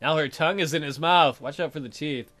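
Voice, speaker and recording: A man's voice: fairly high pitch, brisk, fairly bright tone, faint music behind. A man hosting a let's play, reading a visual novel aloud and breaking off to add irreverent, amused comments.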